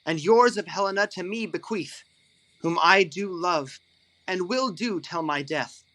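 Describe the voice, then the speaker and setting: An actor playing an ardent young lover with a cutting streak in a Shakespearean audio drama, declaiming verse earnestly. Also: male voice, high-pitched, measured pace, pushed and bright, rough recording.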